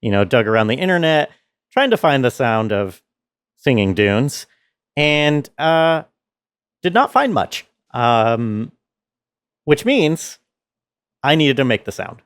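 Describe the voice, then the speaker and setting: Slow-talking man, close dry recording. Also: medium pitch, sing-song, clean tone, dry recording. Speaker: sound designer presenting own work. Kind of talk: behind-the-scenes walkthrough.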